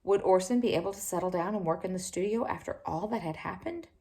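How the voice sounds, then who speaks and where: A woman reading a children's picture book aloud in an expressive, animated way, with little asides to her listeners. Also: fairly low pitch, quick pace, creaky. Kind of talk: storybook read-aloud to young children.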